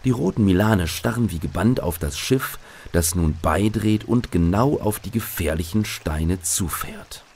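A man's voice: low-pitched, lively intonation, measured pace, vocal fry, a little mellow, husky. A man narrating a scripted children's radio play as its host.

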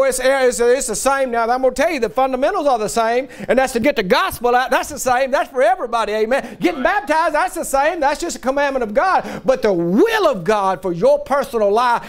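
A middle-aged man preaches in a very high, strained-up register. He delivers a fervent revivalist sermon in a folksy, exhorting way, pushing hard on key words and rising into shouts.

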